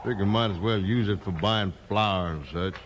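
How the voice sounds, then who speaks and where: Guy doing terse hillbilly clan leader, rural american, lowish voice, faint hiss, old western radio. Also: normal speed, close to an everyday voice. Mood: wary, threatening. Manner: terse, dry, rustic. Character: wary, menacing male mountain clan leader, a father. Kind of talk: 1950s western radio acting.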